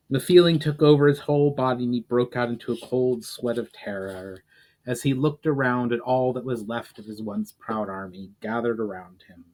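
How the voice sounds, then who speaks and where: Male narrator, mid-range pitch, dramatic reading of epic fantasy prose. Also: a little mellow, rough recording. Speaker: author reading own fiction aloud. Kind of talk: dramatic audiobook-style reading of a fantasy story.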